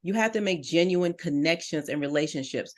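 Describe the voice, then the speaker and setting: A woman's voice: sits low, fairly quick. A middle-aged woman, a business coach on a talk show, giving direct and encouraging advice to listeners. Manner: earnest, direct and encouraging, addresses listeners as "you".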